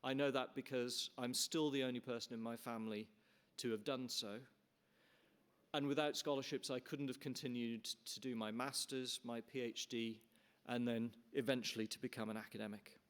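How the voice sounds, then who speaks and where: A man's voice: medium pitch, steady tone, moderate pace, fairly bright tone. A middle-aged man from Canada, the chair, giving an earnest formal welcome speech in a conference hall with frequent restarts and fillers.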